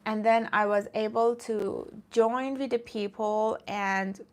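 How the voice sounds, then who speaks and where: A woman's voice: fairly high pitch, steady tone, takes it slowly. A woman from Iran who streams about fitness, chatting casually with viewers in a rambling way and often breaking off to greet commenters.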